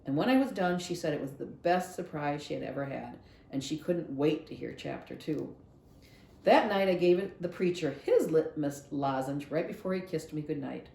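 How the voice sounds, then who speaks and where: Female storybook narrator, library read-aloud style: expressive, mellow, gravelly edges, roomy recording. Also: low voice, normal speed. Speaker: library read-along reader.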